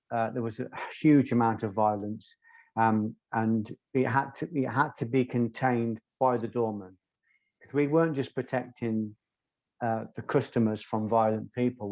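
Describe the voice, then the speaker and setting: A soft-spoken man, a guest telling stories in an interview in a measured, articulate way. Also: medium pitch, dry recording. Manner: measured, articulate, occasional ums. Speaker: guest, former doorman. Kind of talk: reflective interview storytelling.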